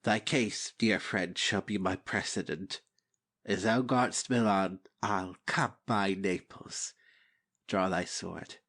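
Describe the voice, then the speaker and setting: A man playing a treacherous, ambitious royal brother in a deliberate, theatrical reading of Shakespeare. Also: deep voice, fairly bright tone, exaggerated voice. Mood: conspiring.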